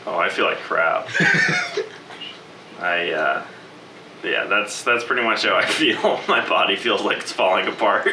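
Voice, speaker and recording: Deep-voiced hesitant twenty-year-old man. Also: lively intonation. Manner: hesitant, halting. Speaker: study participant. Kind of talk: casual on-camera interview. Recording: echoey room, distant mic, noisy.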